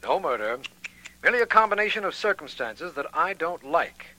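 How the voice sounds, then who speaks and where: An actor playing an earnest district attorney in a 1940s radio detective drama. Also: male voice, a little high, very animated, a little fast, bright, forceful tone, heavily acted, poor audio. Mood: uneasy, regretful.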